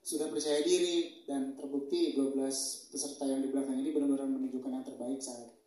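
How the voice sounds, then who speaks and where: A man's voice: mid-range voice, level tone, a little fast, mellow, recorded from a distance. A young man answering as a talent show judge, earnest, with frequent hesitations. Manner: earnest, fillers of "eh". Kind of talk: casual interview answers. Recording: echoey hall, voice from afar.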